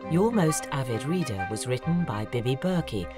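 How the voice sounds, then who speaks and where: A woman's voice: very deep voice, animated, normal speed. A woman reading scripted end credits as an announcer. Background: loud music.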